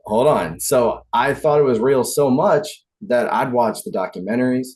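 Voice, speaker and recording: A man's voice: medium pitch, lively intonation, normal speed, a little mellow. A man who is a podcast guest, chatting casually and poking fun at himself. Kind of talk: casual chat.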